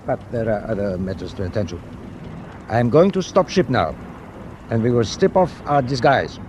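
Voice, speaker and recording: A man acts out a German tanker captain in a wartime radio play. He is formal and sure of himself, with lively shifts of pitch and authority in every phrase. The recording carries faint background noise. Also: moderate pace, lightly acted. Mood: proud, commanding. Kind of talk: radio drama acting.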